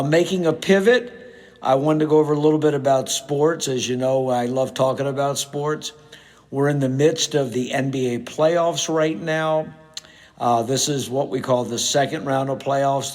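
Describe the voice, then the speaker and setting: A middle-aged man hosting his own podcast in a casual, conversational way, sounding a little hoarse and nasal from a cold. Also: mid-range voice, steady tone, unhurried, a little mellow. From United States. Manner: conversational, with frequent fillers. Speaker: podcast host, real estate agent. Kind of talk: casual solo podcast talk.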